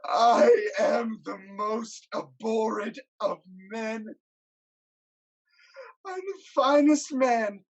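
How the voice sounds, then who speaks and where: A woman's voice: sits high, muffled recording. A woman playing an anguished, self-loathing blinded king in a declamatory, lamenting style of Greek tragedy. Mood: bitter self-loathing. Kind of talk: theatrical Greek tragedy acting.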